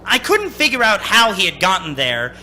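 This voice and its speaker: A man's voice: sits high, huge pitch swings, moderate pace, sharp tone, a little hiss or hum, exaggerated voice. An actor playing a haunted man of thirty-six who tells his story in dramatic old-time radio style. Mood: uneasy recollection. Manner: urgent, dramatic first-person narrating.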